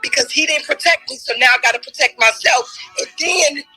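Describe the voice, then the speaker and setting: A woman's voice: high voice, fairly quick, soft background music, rough recording. A woman arguing in a heated debate, emphatic and challenging, and losing her voice. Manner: forceful, emphatic, challenging questions.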